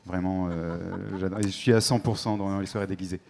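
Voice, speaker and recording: Low-voiced young man from northern France. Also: a little fast. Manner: relaxed, short replies, repeats ok. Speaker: dating show contestant. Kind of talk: casual chat.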